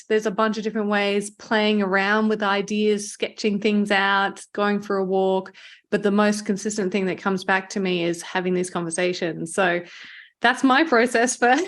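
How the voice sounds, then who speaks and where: A woman's voice: medium pitch, a bit bright. A woman hosting a podcast, chatting conversationally in an encouraging, affirming way. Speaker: podcast host, marketing agency owner. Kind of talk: conversational interview chat.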